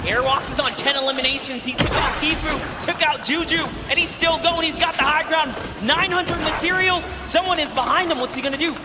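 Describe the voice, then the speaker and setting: A young man giving energetic live esports commentary on a headset mic in a big arena. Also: very high-pitched, fairly quick, few pauses, loud music behind the voice. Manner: energetic, exclaiming on key plays. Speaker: esports caster. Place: large arena stage with live audience.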